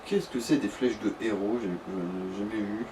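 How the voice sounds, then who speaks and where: A man's voice: on the low side, moderate pace. Distant-sounding man bantering over a game. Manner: informal, joking, sweary. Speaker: let's play co-commentator. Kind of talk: casual gaming chat. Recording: distant mic with background noise.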